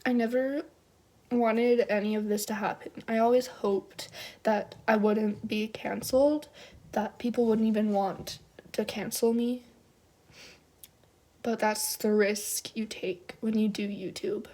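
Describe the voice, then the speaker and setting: A woman's voice: a little high, fairly even intonation, a little slow, mellow. A young woman delivering a mock-earnest, tearful-sounding apology video as a youtuber.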